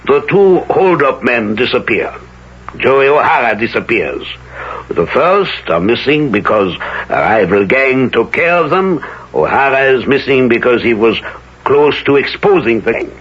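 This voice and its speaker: An actor playing a coldly controlling, quietly menacing village elder in measured, didactic 1970s radio drama style. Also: male voice, medium pitch, sing-song, husky. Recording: slightly muffled vintage radio recording.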